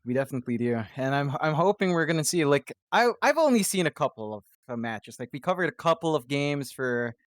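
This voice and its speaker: A young man co-hosting a gaming podcast, chatting in a relaxed way with a chuckle and agreeing often. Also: on the high side, fairly quick, few pauses, no echo, clean studio sound. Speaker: podcast co-host. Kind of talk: casual podcast chat.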